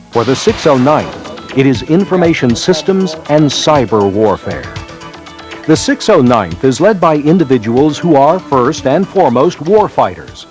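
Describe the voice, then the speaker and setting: A man with a mid-range voice delivers formal narration for a 1990s military training film. His phrasing is measured and emphatic, in a scripted documentary style. Music plays behind his voice. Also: medium pitch, a little mellow. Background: background music.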